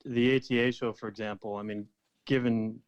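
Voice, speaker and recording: A man's voice: medium pitch, fairly even intonation, fairly quick, smooth, muffled recording. A man chatting casually as a caller on a live podcast. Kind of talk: casual chat.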